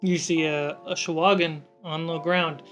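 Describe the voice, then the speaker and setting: A woman narrates a tabletop game as its game master in a casual, conversational style. Her voice is slightly low. Music plays in the background. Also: fairly even intonation, normal speed.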